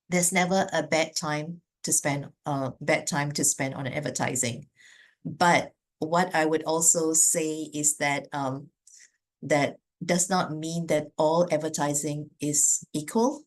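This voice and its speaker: A woman from Malaysia with a Malaysian accent and a low voice answers business owners' questions during an online webinar. She speaks earnestly and stops often to restart her sentences and fill pauses with "um". She is heard through a computer mic on a video call. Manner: earnest, many fillers and restarts. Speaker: business advisor.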